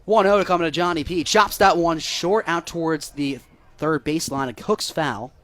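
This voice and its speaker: An American man doing live baseball play-by-play on the radio, measured between pitches and lifting with excitement on plays. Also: no room sound. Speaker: play-by-play broadcaster.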